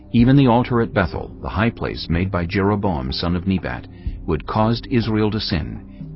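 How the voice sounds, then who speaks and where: A man narrating scripture in a dramatized audio Bible with grave, expressive delivery. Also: low-pitched. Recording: dull lo-fi with music underneath.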